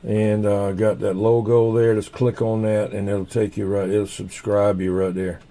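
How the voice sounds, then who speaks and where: A man hosting a casual livestream, rambling in an unhurried way and half muttering to himself as he goes. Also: fairly low pitch, level tone, warm tone. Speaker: livestream host.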